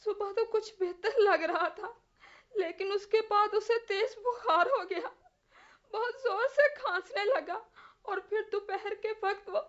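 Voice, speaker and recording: An anguished widowed mother sobs and wails in a religious drama. Her voice is extremely high and tearful, heavy with reproach, on a dull lo-fi recording. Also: normal speed, hardly any pauses. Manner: wailing, sobbing delivery. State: crying.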